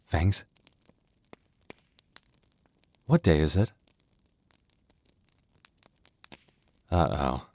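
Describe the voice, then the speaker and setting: Man acting a soft, very low-voiced werewolf boyfriend. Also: dry recording, close to an everyday voice. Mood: sheepish.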